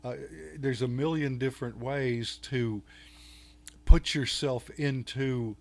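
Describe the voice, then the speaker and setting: Older Texan man, unhurried, halting guest chat full of ums and restarts. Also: mid-range voice, steady tone, darkish tone. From Texas. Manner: halting, many fillers and restarts. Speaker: podcast guest, author. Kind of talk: casual interview talk.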